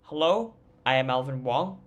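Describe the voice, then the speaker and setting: A man's voice: medium pitch, expressive, moderate pace, muffled recording. A teenage boy from Hong Kong introducing himself and answering on a youth panel, with some uh fillers. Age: teens. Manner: eager, with uh fillers.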